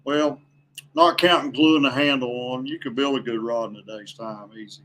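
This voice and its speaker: A man's voice: medium pitch, fairly even intonation, moderate pace, fairly bright tone. Folksy man chatting over background noise. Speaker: podcast guest, veteran angler. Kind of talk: casual chat.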